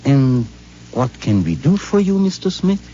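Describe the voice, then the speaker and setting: A sly, suave puppet maker. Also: male voice, mid-range voice, big pitch swings, measured pace, mellow, slight background noise. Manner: suave, knowing, sing-song. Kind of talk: 1950s radio drama acting. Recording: dull old radio broadcast recording.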